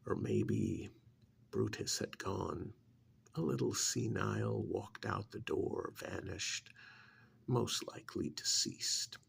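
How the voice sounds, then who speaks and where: A man voicing an ancient alien creature who scorns humans, in a gravelly, breathy ASMR roleplay monologue that often sinks into whispers, slow and brooding. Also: fairly low pitch, a little hiss or hum. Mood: quietly sinister. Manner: slow, deliberate, brooding, with sighs and pauses.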